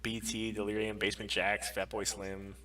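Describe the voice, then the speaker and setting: A man's voice: fairly low pitch, little pitch movement, brisk, a crowd in the background. A man co-hosting a podcast live stream, chatting casually and bluntly with opinions and plenty of swearing.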